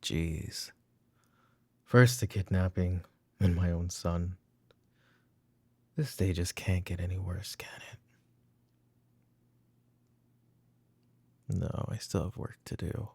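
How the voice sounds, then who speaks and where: Over background music comes a weary, short-tempered mafia boss, acted by a man in a roleplay ASMR drama. He speaks slowly and commandingly, in a gravelly, breathy voice suited to a proud, possessive father. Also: low voice, fairly even intonation, dry recording, heavily acted. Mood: tired, muttering. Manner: commanding, curt, slipping into hushed muttering.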